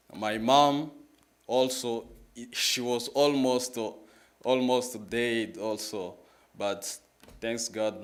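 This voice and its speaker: Slow-speaking young Congolese man. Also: lowish voice. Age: 20s. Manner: halting, repeats phrases, plain-spoken. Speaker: guest speaker at a church, sharing testimony. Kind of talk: personal testimony in a public talk.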